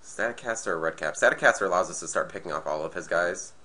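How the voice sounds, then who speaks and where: A man with a slightly raspy, nasal voice from being sick, casually commentating a card game match and thinking aloud as he plays. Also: sits low, fast talker, pushed and bright. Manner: thinking aloud, hesitant restarts. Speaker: gameplay commentator.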